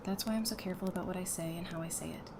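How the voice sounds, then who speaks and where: A woman's voice: on the low side, fairly even intonation, rapid-fire, noisy background, natural voice. A woman playing an affectionate, self-assured young wife in an audio drama, talking in a casual, playful, reassuring way. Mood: confident, reassuring.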